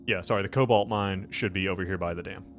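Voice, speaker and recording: A man's voice: deep voice, fairly even intonation, fast talker, soft background music. A man running a tabletop game as game master, talking casually and bantering with the players.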